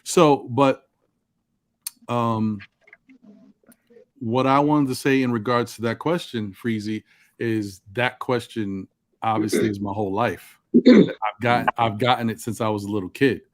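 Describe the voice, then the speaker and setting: A man with a deep voice and precise, articulate enunciation, talking reflectively about himself in a group discussion. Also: steady tone, measured pace, long pauses, no echo. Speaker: panel participant.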